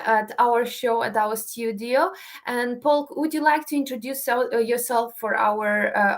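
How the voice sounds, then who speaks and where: A woman hosting a live online show, enthusiastic and halting, with frequent fillers. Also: fairly high pitch. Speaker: show host.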